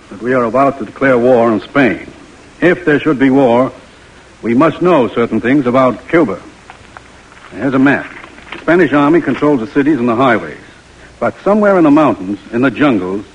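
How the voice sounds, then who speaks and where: An actor with an American accent playing a brisk, authoritative army major in an old-time radio drama. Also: male voice, mid-range voice, animated, slight background noise, lightly acted. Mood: brisk, commanding. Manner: authoritative, businesslike. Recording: dull, lo-fi vintage radio recording.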